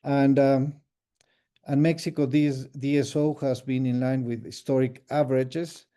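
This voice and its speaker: Very dark, mellow-voiced male finance executive. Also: medium pitch. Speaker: company finance executive presenting results. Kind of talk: formal earnings call presentation, partly scripted.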